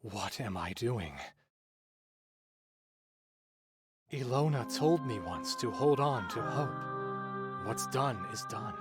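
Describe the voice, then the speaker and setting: A man voicing a tormented, lovesick cursed man in a fantasy visual novel, brooding and theatrical, with halting intensity and a breathy, husky voice. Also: mid-range voice, close to an everyday voice. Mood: anguished, dreading. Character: tormented cursed man, lovesick, fearing his own bloodlust. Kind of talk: dramatic visual novel monologue.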